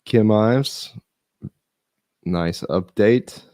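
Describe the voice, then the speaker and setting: A man's voice: on the low side, very slow, mellow, no room sound, clean studio sound. A man hosting a casual stream, chatting loosely and thinking aloud while he is losing his voice. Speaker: host. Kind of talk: casual live-stream reading and chat.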